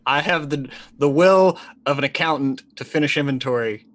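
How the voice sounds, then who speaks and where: A man in his thirties chatting casually and jokily as a tabletop game player, animated and stammering as he improvises. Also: on the high side, moderate pace. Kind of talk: casual, joking game chat. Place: indoor room on a video call. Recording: faint noise, ambient sound behind.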